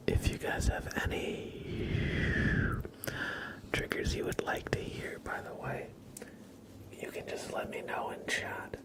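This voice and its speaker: Male ASMR streamer: gentle whisper, unhurried, casual and conversational, trailing pauses. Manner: soft, breathy whispering and low murmuring. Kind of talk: ASMR, casual chat with live stream viewers.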